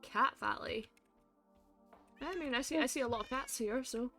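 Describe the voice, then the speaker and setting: A woman's voice: on the high side, moderate pace, bright, forceful tone, faint music behind. A young Scottish woman hosting a casual debate stream, teasing and laughing often. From Scotland.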